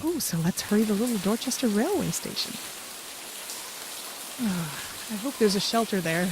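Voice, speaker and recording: A woman's voice: mid-range voice, lively intonation, moderate pace, noisy background, close to an everyday voice. A woman playing a guarded, dry-witted companion to a time traveller in an audio drama, reserved and understated. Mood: practical.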